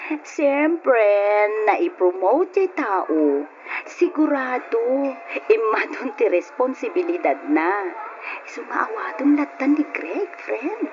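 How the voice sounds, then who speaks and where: Slow-talking Ilocano best friend. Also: female voice, fairly high pitch, big pitch swings. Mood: curious, teasing. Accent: Ilocano Filipino. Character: curious, reassuring young female confidante. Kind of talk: Filipino radio drama acting. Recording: muffled, noisy old radio drama.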